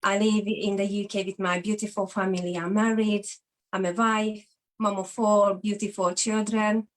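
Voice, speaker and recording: A woman's voice: medium pitch, level tone, normal speed. A woman in her forties from Hungary with a Hungarian accent, a guest sharing her own story in an interview in an earnest, open way. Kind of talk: personal testimony in an interview.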